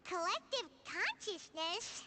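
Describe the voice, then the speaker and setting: A woman's voice: very high-pitched, big pitch swings, slow pace, noisy background, heavily acted. A woman voicing a tiny, curious, childlike fairy companion in a video game, exclamatory and easily puzzled. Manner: exclamatory, speaks of self in third person.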